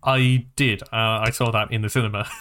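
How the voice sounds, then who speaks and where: A man's voice: mid-range voice, steady tone, brisk, a bit bright. A man co-hosting a podcast in casual film discussion, with a hesitant, rambling way of talking that often restarts phrases. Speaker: podcast co-host.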